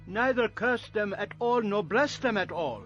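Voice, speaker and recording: An imperious angry king in a bible audio drama, really high voice, swings all over, music behind. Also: animated, a little slow, fairly bright tone, dull audio. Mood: exasperated. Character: angry, exasperated king summoning a prophet to curse. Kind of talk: dramatized audio scripture acting.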